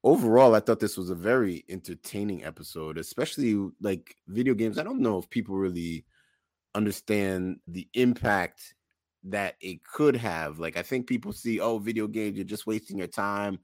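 A man's voice: lowish voice, moderate pace, a little mellow, dry recording, studio quality. A man co-hosting a podcast in casual conversation, speaking emphatically and repeating phrases for emphasis. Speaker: podcast co-host.

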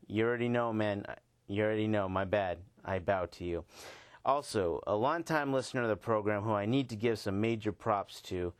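An American man with a lowish voice hosts a laid-back solo podcast. His phrasing is informal and full of street slang, like talking straight to regular listeners. The sound is close and dry, with little room around it. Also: dry recording. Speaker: host. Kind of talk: casual podcast monologue with shout-outs.

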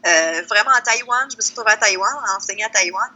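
A woman with a Québécois accent speaks in a strikingly bright, forward voice at a middle pitch. She tells stories casually and with animation, in the loose style of an interview guest. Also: quick pace. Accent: Québécois, Lac-Saint-Jean. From Quebec, Canada. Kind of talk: casual conversational storytelling.

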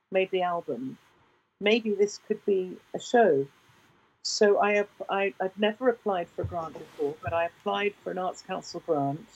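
A woman in her sixties, a singer with a deep baritone voice, telling stories in an interview with many restarts. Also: a little mellow, husky, dull audio. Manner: rambling, with frequent restarts. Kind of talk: relaxed conversational storytelling.

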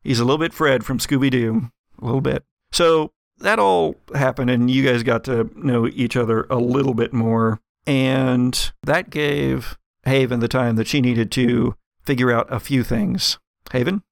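A man with a creaky, gravelly voice of middling pitch acts as game master. He narrates casually, and the sound is close and dry. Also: a little slow, no echo.

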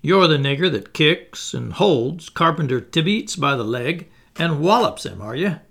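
A blustering old plantation master from an audiobook, bullying and sarcastic, as a male narrator puts him on. His voice is gravelly and pushed up higher and louder than the narration, dragging out words to mock and sermonize. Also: expressive, measured pace, full character voice. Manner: mocking, bullying and preachy, with drawled words.

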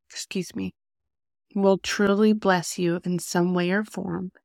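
A woman's voice: fairly low pitch, dry recording. A young woman hosting a faith podcast, sounding nasal and congested from a cold, talking earnestly into a close podcast mic. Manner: soft, unhurried, earnest. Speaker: podcast host. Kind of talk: faith podcast talk and prayer.

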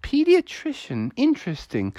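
A man's voice: very high-pitched, wildly swooping pitch, normal speed, mellow, no echo, pitched above the performer's own voice, exaggerated voice. A man voicing an admiring, curious neighbor in a children's story, gushing and inquisitive. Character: admiring, curious neighbor handing out Halloween candy.

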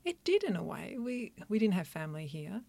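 A woman who practises Chinese medicine, answering interview questions in an earnest, thoughtful, soft-spoken way. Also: on the low side, big pitch swings, brisk, gravelly. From Australia, country Victoria.

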